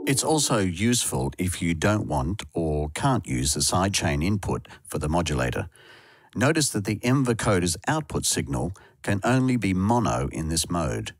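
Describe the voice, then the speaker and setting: Deep-voiced man narrating a software tutorial. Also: sing-song, moderate pace, no echo, clean studio sound. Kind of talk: scripted instructional narration. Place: close, dry voice-over recording.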